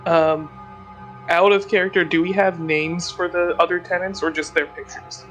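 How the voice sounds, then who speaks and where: A man's voice: sits high, flat delivery, moderate pace, fairly bright tone, faint music behind, rough recording. A man speaking casually as a player in a tabletop roleplaying game. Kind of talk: casual in-character game dialogue.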